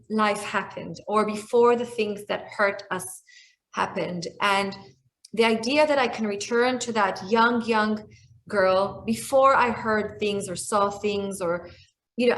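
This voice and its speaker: A woman's voice: mid-range voice, animated, slow. Reflective woman in her forties. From Israel. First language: Hebrew. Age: 40s. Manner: reflective, many restarts and fillers. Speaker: guest speaker. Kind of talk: video call conversation. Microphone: video call.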